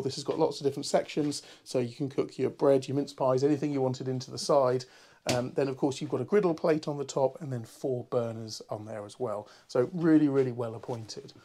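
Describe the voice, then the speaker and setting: A man, a bit hoarse from a cold, chatting through a walkthrough tour of a barge. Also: on the high side, dark tone. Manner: chatty and upbeat, many ums. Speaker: boat broker. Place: inside a barge, small cabin rooms.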